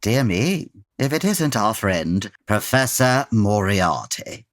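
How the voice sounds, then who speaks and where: A haughty British gentleman detective with a very low-pitched voice. The delivery is formal and precise, with lively swings of intonation, in the manner of old-time radio comedy. The sound is close and dry. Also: takes it slowly, no room sound, full character voice. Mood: dry, self-assured. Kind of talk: comic old-time radio drama acting.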